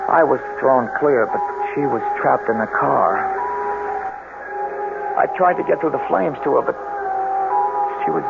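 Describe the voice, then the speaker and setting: A man's voice: mid-range voice, lively intonation, unhurried, gravelly, long pauses, over loud music. An actor playing a bitter, scarred young man in an old-time radio drama, melodramatic, brooding and defiant. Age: young adult. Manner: melodramatic, swinging from brooding to urgent. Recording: muffled vintage radio with heavy noise.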